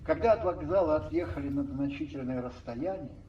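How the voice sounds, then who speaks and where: A man narrating a radio play as scripted, measured storytelling. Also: a little high, mellow, echoey room, far from the mic, muffled recording, a little hiss or hum.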